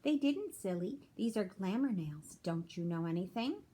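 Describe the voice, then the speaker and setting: A woman's voice: medium pitch, lively intonation, moderate pace, mellow, slightly rough. A woman voicing a bossy, condescending young girl who thinks she knows everything, in a children's storybook. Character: bossy, know-it-all young girl, the older friend.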